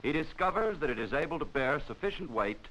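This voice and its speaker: An American man giving formal, measured, scripted narration for a 1940s instructional training film, on a vintage film soundtrack. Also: on the high side, very animated, a bit bright, slight background noise, rough recording.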